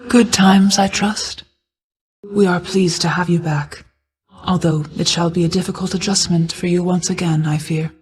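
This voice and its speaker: A woman voicing a regal, ancient night goddess and guarded mother in a video game, speaking in a formal, measured way. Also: on the low side, fairly even intonation, husky. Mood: formal, reverent. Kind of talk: scripted game character dialogue.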